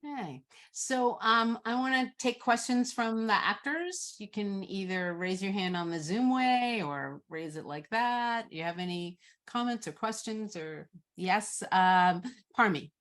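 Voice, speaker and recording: A woman moderating an online Q&A informally, thinking aloud in a halting way as she puts questions. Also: medium pitch, a bit bright. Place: online video call.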